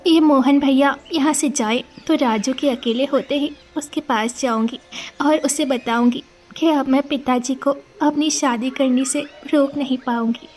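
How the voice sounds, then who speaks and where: A woman voicing an anxious young village woman in love in an animated moral story, earnest and worried. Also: high-pitched, unhurried.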